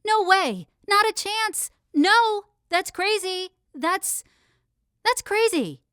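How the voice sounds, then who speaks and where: A woman acts out an excitable, stubborn teenage girl in an audiobook. Her voice is high and bright, with very lively pitch. She speaks in a dramatic, emphatic way. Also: slow pace, heavily acted. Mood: alarmed disbelief. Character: excitable, stubborn sixteen-year-old girl. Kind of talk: audiobook character dialogue.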